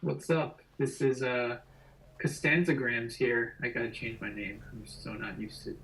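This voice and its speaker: A man's voice: mid-range voice, steady tone, a little slow, fairly bright tone. A man in his thirties from New Jersey, chatting casually as a guest calling in to a podcast. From New Jersey, United States. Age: 30s. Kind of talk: casual chat. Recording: faint background noise on video call.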